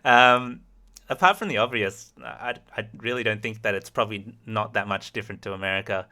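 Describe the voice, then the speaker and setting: Aussie guy with a light accent, bright tense voice, laid back livestream chat, laughs a lot. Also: fairly low pitch, fairly quick. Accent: light Australian. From Australia. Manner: easygoing, laughs often. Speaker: host. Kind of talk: casual chat, being interviewed on a livestream.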